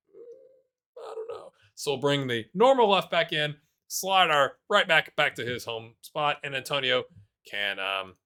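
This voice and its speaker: A man casually commentating over his own football management game, chatty and self-deprecating, muttering asides and then erupting with excitement at the big moments. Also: a little high, clean tone. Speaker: gaming YouTuber playing a football manager game.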